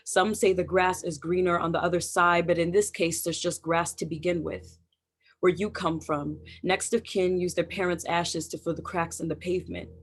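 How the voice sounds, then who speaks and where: Spoken word poet, husky voice, narrow pitch range, deliberate emphatic recital. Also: a bit bright. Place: indoors, remote video call. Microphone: video call.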